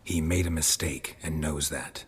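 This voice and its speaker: An actor with an American accent playing a stoic, gruff monster hunter, terse and deadpan, with a raspy voice, in a video game. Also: male voice, a bass voice, a little slow, big-room sound, a little hiss or hum. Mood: measured, insistent. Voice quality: very gravelly, husky rasp.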